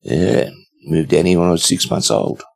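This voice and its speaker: Gravelly old Australian man. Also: low voice, little pitch movement. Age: 70s. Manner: unhurried, rambling with many fillers. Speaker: guest. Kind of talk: casual storytelling interview.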